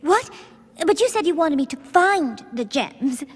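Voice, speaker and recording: Prissy cartoon girl pony, super high swoopy voice, dramatic and whiny like a fussy lady. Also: big pitch swings, unhurried, a little hiss or hum. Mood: indignant, prim. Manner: haughty, prim and theatrical, with whiny wailing. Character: prissy, dramatic young female pony who fancies herself a lady. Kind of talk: animated cartoon acting. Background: music.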